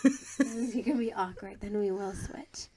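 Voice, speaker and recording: A soft-spoken woman, a podcast producer and guest, teasing and laughing in casual banter. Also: medium pitch, moderate pace, slight background noise. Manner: soft-spoken, quiet talker.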